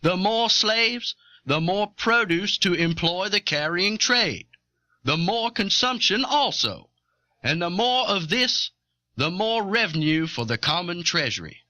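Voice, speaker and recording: Man acting an assertive, bright-voiced planter delegate. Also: sits high, expressive, measured pace, no echo, heavily acted. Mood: insistent. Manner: formal, insistent. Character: assertive eighteenth-century Southern planter delegate defending slavery. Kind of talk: dramatized historical debate speech.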